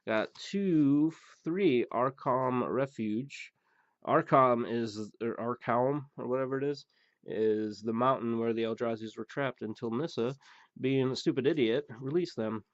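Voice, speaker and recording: A man hosting a pack-opening show, rambling through the cards with many fillers and blunt remarks, his voice going hoarse. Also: mid-range voice, measured pace, no echo. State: losing his voice. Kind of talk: casual card-opening commentary. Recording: dull, dry recording.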